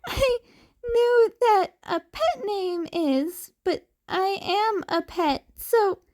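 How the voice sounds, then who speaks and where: A woman voicing a small, timid cat-boy in a podfic story, with a quiet, hesitant, pleading voice. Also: very high voice, animated, very slow, pitched above the performer's own voice, exaggerated voice. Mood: giggly. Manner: hesitant, pleading, breaking off mid-sentence. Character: frightened small cat-boy, timid, wary, cheekily cute.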